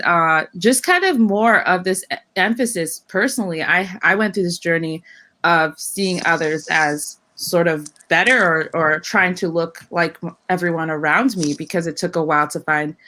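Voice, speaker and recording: Thoughtful woman, slightly low voice. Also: normal speed, bright, forceful tone. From Saskatchewan, Canada. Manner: thoughtful, searching for words, frequent fillers and restarts. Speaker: panel guest. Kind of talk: reflective panel discussion.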